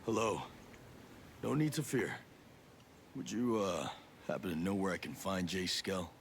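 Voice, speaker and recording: An actor playing a gruff soldier in a video game, speaking in a terse, controlled way. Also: male voice, low voice, expressive, a little slow, slight background noise. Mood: calm, polite. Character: gruff male soldier, menacing yet courteous game hero.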